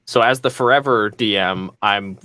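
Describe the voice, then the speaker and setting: A man's voice: fairly low pitch, moderate pace, pushed and bright, dry recording. A man speaking casually as a voice actor on a panel.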